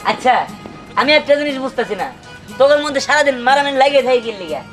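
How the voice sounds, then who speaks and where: A man's voice: very high voice, lively intonation, music in the background. A man with a colloquial Bangladeshi accent voicing an exasperated young cartoon husband, scolding in comic dialogue. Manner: scolding, rapid, forceful. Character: exasperated young husband of two quarrelling wives.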